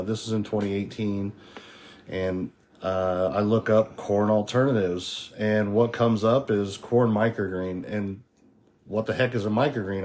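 A man with a hoarse, worn-down voice sitting low in pitch, talking as a guest farmer on a podcast. He rambles eagerly from one point to the next, and a faint hum of background noise sits under him. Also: darkish tone. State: hoarse, losing his voice. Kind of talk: casual interview talk.

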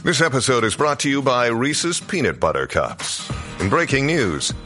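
A bright, forceful male commercial announcer with animated pitch. He reads like a mock-serious newscaster over faint music. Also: normal speed. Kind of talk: advertisement read.

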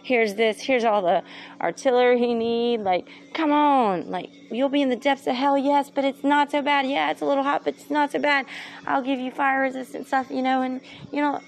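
A woman's voice: high-pitched, normal speed, gravelly, pitched above the performer's own voice. A woman voicing a sly male devil who coaxes people to join him with wheedling salesman patter. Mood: coaxing. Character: sly tempting male devil recruiting souls.

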